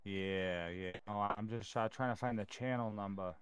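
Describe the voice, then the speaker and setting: Low smooth guy voice, low-key and kinda hesitant, chatting in a game roleplay. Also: normal speed, no echo. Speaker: player in a crime roleplay game. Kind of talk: casual improvised roleplay chat.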